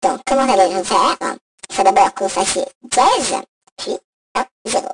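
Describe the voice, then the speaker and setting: A woman's voice: mid-range voice, expressive, normal speed. A woman playing a cheeky, insulting robot voicemail service in an improvised comic skit, rambling and mock-official through a robot voice effect. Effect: robot voice modulator. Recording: distant, noisy phone app recording.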